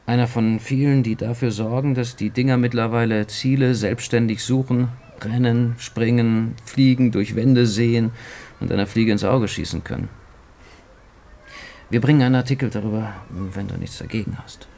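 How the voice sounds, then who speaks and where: A man playing a sceptical, world-weary journalist, speaking with a creaky voice and wry, lecturing manner in a radio drama. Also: mid-range voice, steady tone, moderate pace, mellow, lightly acted. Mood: sardonic, explanatory. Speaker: supporting actor.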